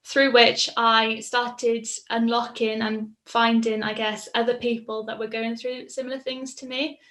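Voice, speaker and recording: Higher-pitched young woman, level delivery. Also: a bit bright. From Mid Wales. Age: 20s. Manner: casual, reflective, frequent ums. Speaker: patient sharing her story. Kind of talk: personal testimonial.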